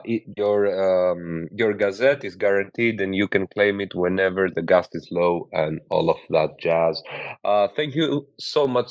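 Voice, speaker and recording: Man, Greek accent, podcast host, laughs a lot, lowish voice, dull dry sound. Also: no echo. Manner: laughs often, swears casually, many fillers. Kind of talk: casual podcast chat.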